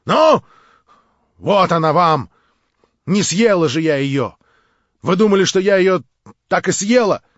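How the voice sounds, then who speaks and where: A man voicing an imperious older noblewoman who shouts and commands in a frantic voice, recorded dry and dull. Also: high-pitched, expressive, a little slow, pauses often, no echo, pitched above the performer's own voice. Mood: fierce. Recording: dull dry studio sound.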